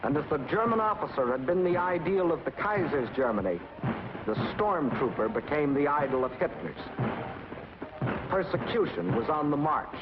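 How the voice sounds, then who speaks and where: Man, American, fairly high voice, emphatic wartime documentary narration, clipped phrases, very noisy dull old soundtrack. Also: big pitch swings, moderate pace. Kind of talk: 1940s propaganda film narration, scripted.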